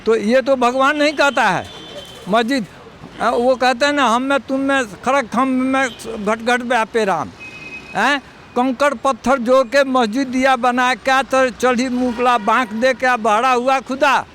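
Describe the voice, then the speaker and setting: A man's voice: extremely high pitch, steady tone, unhurried, a bit bright. A man giving his opinion to a reporter on a busy street, earnest, and quoting a couplet to make his point. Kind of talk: street interview. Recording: faint traffic noise.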